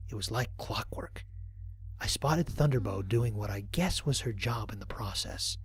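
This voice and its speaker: A man playing an earnest human space explorer who records mission logs in a measured, reflective way, with a slightly husky voice, in an audio drama. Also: lowish voice, lively intonation, lightly acted. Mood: hushed, tender. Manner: measured, reflective log-keeping delivery. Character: male human space explorer, earnest, observant, wryly fond. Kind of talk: scripted audio drama mission log narration.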